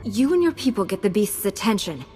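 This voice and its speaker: A woman's voice: medium pitch, big pitch swings, brisk, over loud music, natural voice. Woman playing a commanding warrior in long reverb. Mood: firm, commanding. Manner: firm, curt, imperious. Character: hard, commanding young female warrior knight. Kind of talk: dramatic video game acting.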